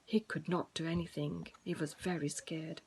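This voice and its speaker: A woman's voice: low-pitched, level tone, moderate pace, darkish tone, low-quality recording. A woman telling a story to preschool children in an informal way, with simple, repeated phrases addressed to young listeners.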